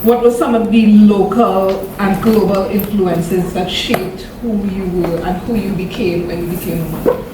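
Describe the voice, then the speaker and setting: A woman interviewer asking warm, knowledgeable questions on stage. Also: medium pitch, fairly even intonation, normal speed, noisy background. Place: event stage. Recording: very echoey, distant event recording.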